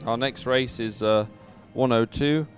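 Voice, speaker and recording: A man giving live sports commentary as an event announcer, in a measured, hesitant way with frequent pauses. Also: medium pitch, soft background music.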